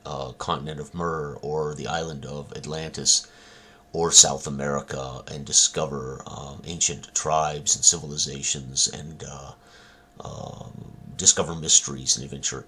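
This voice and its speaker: A man giving an informal, rambling talk as a tabletop game designer, with a halting delivery and frequent hesitations. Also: sharp tone. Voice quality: husky, very deep.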